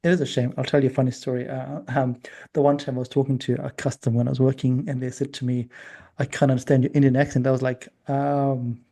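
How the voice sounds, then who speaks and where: A man with a mellow, mid-pitched voice hosts a podcast. He talks quickly and informally, affirming his guest as he puts casual questions. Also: little pitch movement. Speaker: podcast host. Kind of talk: casual conversational interviewing.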